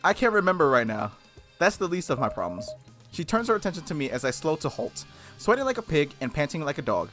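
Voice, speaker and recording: Male let's-play host, lively swings in pitch, reading story text with casual asides, music behind. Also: fast talker. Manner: expressive read-aloud, slangy asides. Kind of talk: reading a visual novel aloud with casual reactions.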